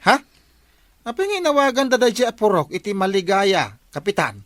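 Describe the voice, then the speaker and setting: A man's voice: high-pitched, very animated, brisk, fairly bright tone, dry recording. Casting: man for crafty Filipino village watchman, Ilocano accent, dry studio sound, comedy drama delivery. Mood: inquisitive.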